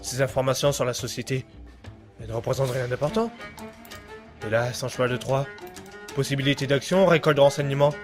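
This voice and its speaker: A man's voice: mid-range voice, steady tone, a little fast, long pauses, close to an everyday voice. A man playing an anxious, guilty amateur intruder in a French audio drama, muttering to himself and stammering when threatened. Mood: searching, confused. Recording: music behind the voice.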